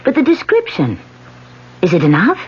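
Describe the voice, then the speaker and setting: An actress playing a guarded woman politician with a dark secret in an old radio drama, tense and evasive. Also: lowish voice, huge pitch swings, normal speed, breathy edge, heavily acted. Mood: anxious. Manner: tense, evasive, quietly resolute. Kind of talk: 1970s radio drama acting. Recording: dull lo-fi old radio broadcast.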